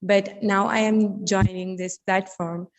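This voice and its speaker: Hesitant woman speaking slowly in a reverberant room. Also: mid-range voice, level tone. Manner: hesitant, many fillers. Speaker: first-time show participant. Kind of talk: casual answer in a second language. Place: video call.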